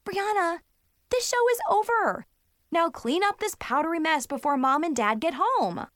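A woman's voice: sits high, very animated, measured pace, no room sound, clean studio sound, pitched above the performer's own voice. A woman voicing an exasperated schoolgirl big sister in a storybook, bossy and emphatic as she scolds. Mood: stern, irritated.

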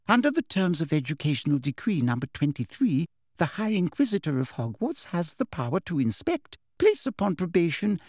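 A man voicing a sweetly menacing middle-aged woman official who speaks softly with a shrill edge. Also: gravelly, no echo, clean studio sound, full character voice. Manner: soft, deadly, with a shrill edge.

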